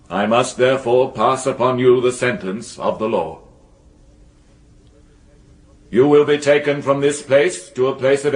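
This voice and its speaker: An actor playing a stern Victorian judge in a radio drama, grave and pompous, with weighty pauses. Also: male voice, medium pitch, lightly acted. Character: stern, solemn Victorian judge passing sentence.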